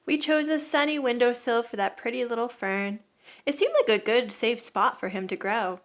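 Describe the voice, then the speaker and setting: Expressive woman reading a children's storybook. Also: a little high, normal speed. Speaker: read-aloud storyteller. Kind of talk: children's picture book read-aloud.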